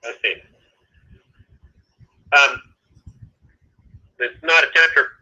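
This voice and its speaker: Man, satellite staffer on a call, halting explainer, very bright tone, tinny phone line. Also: medium pitch. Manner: halting, many restarts, explanatory. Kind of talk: phone conversation.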